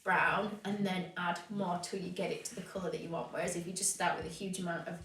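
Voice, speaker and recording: A woman's voice: on the low side, steady tone, fast, fairly bright tone. A woman with a sniffly, hay-fever nose, chatting casually as she explains her steps in a live home tutorial. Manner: chatty, explains as she works, many fillers. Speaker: cake decorator teaching a live stream. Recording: roomy, distant, faint noise.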